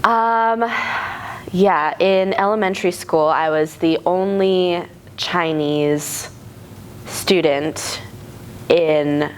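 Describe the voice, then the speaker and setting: A woman's voice: lowish voice, extremely slow, slight background noise. A young woman in her twenties, an actress from Arizona, talking casually in an interview with frequent fillers, restarts and laughs. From Tucson, Arizona, US. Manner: hesitant, many fillers and restarts, laughs often. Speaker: actress guest.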